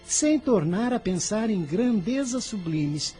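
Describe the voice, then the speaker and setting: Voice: mid-range voice, expressive, dark tone, faint music behind. An actor voicing an earnest, kind fifteen-year-old boy in a radio drama, speaking in a solemn, formal way. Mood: solemn, humble. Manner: solemn, measured, formal diction.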